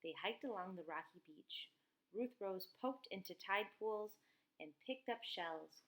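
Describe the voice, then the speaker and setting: A woman's voice: mid-range voice, expressive, measured pace, fairly bright tone, poor audio. A woman reading a children's book aloud, teacher-style, with casual asides.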